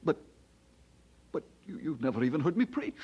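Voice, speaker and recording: An actor playing an earnest, kindly, humble preacher in a radio drama, speaking with courteous sincerity. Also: male voice, on the high side, sing-song, moderate pace, mellow, breathy edge. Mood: curious, modest. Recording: dull old radio drama recording.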